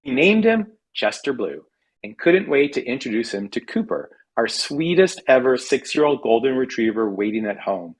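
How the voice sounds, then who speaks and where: An American man giving an expressive reading of a humorous personal essay, with wry, dramatic emphasis. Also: medium pitch, moderate pace, slightly rough, low-quality recording. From California, United States. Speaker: writer reading own work at a literary reading.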